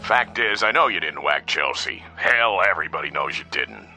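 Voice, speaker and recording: An actor playing a gruff, sarcastic American policeman in a hardboiled radio drama, mocking and wisecracking as he talks. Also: very deep voice, sing-song, moderate pace, pushed and bright, soft background music, heavily acted. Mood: blunt, sardonic.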